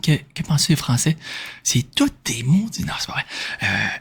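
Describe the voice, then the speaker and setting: A man from Quebec with a Québécois French accent, speaking in a soft, breathy whisper as he informally answers questions in an ASMR style. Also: mid-range voice, sing-song, moderate pace. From Quebec, Canada. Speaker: ASMR video creator answering viewer questions.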